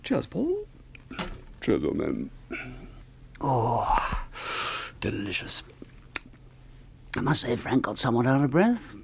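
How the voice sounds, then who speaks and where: An actor playing a jovial, flippant British husband in a radio drama, with breezy, jokey, old-fashioned turns of phrase. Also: male voice, mid-range voice, huge pitch swings, takes it slowly, creaky, pauses often, slight background noise, full character voice. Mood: self-satisfied. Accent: British English. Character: jovial, flippant middle-class British husband, joking electronics man.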